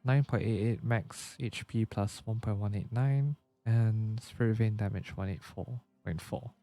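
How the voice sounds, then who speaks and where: A man's voice: lowish voice, measured pace, warm tone. A man giving casual gameplay commentary, stumbling a little as he reads game text aloud, with music behind him. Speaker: livestreamer.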